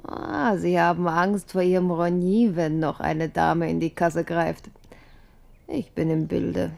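Give a radio play its worldly former queen in her fifties. Her voice sits low with a gravelly creak, and she speaks with poise, knowing and flirtatious. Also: fairly even intonation, slow pace, a little mellow, exaggerated voice. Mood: knowing, coaxing. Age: 50s. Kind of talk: German radio play acting.

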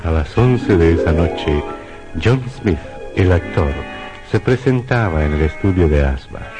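Very deep, solemn male storyteller. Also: animated, a little slow. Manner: solemn, dramatic. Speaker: radio drama narrator. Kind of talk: dramatic scripted horror narration. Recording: dull lo-fi radio recording. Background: faint music.

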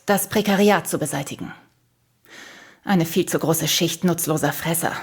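An actress playing a cold, haughty conspirator in a radio drama, with a condescending, cool manner. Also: female voice. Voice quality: husky, low. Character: cold, smug female conspirator and killer, haughtily superior.

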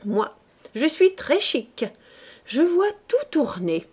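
Woman reader voicing a shrill, loud, greedy woman; high and swooping. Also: very animated, takes it slowly, full character voice. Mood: gloating. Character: brash, greedy woman, tipsy and gloating.

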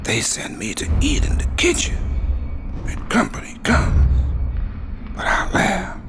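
A man performing as a proud, defiant poet, reciting verse dramatically in a gravelly, breathy voice. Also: high voice, wildly swooping pitch, slow, background noise, heavily acted. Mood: brooding, defiant.

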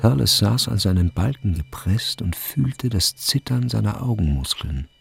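A man's voice: fairly low pitch, animated, moderate pace, gravelly, music in the background. A man reading scripted literary narration in a radio drama.

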